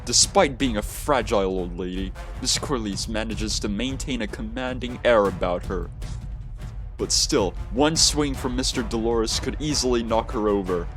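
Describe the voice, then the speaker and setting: A man reading a visual novel game aloud in expressive story narration. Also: on the low side, moderate pace. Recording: music behind the voice. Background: game music.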